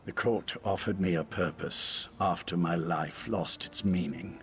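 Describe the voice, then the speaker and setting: A man playing a grieving, bitter elf father and former cultist in a video game, speaking in a measured, earnest way. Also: low voice, soft background music. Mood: solemn conviction.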